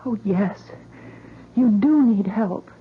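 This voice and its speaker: An actress playing a hesitant, concerned woman confidante in a 1970s radio drama, speaking gently. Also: medium pitch, expressive, slow, husky, exaggerated voice. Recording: very noisy, phone-like vintage radio recording.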